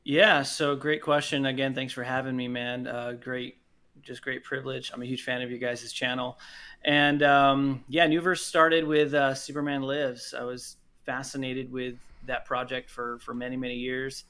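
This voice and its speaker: A man's voice: mid-range voice, flat delivery, moderate pace, sharp tone, clear, resonant tone. A man speaking casually and enthusiastically as a guest in an interview. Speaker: podcast guest, audio drama producer.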